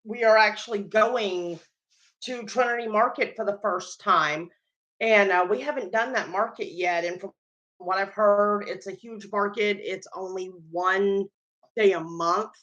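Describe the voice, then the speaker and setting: A woman's voice: mid-range voice, a little slow, a bit bright, muffled recording. A tired woman with a Southern American accent, chatting casually to camera on her baking vlog, rambling with lots of ums. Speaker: home baker and vlogger.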